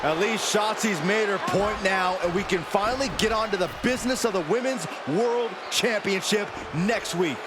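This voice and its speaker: A man's voice: high voice, normal speed, few pauses, close to an everyday voice. A man voicing an upbeat wrestling color commentator who reacts to the action. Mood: reactive. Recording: loud crowd and arena noise.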